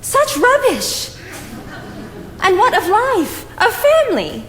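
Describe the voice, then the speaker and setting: A woman's voice: very high voice, wildly swooping pitch, slow pace, fairly bright tone, full character voice. An actress playing a prim, judgmental young woman in a stage play, formal and haughty in her disapproval. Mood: indignant. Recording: echoey theatre stage with background noise.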